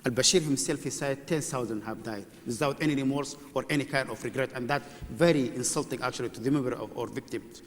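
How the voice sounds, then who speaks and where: A man from Sudan, speaking as a panelist in a formal public debate, earnest and halting with many fillers. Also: medium pitch. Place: debate hall with live audience. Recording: long reverb of a large hall.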